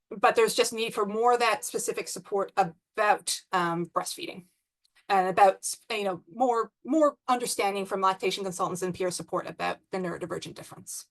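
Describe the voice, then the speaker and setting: A woman with a New Zealand accent giving an online academic presentation on a video call, with many pauses and restarts. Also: medium pitch, a bit bright. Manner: hesitant, many ums and restarts. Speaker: conference presenter.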